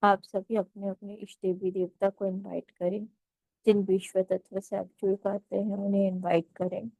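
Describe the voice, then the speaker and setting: A woman leading a guided meditation as instructor, speaking in a measured, gently instructive way with pauses between phrases. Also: mid-range voice, level tone, gravelly, dry recording, low-quality recording.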